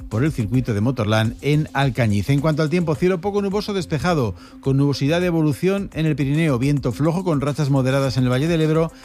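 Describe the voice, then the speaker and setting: A man with a Spanish accent from Spain, anchoring a morning radio news bulletin in a measured newsreader manner. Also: on the high side, darkish tone, soft background music. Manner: brisk, measured newsreader delivery.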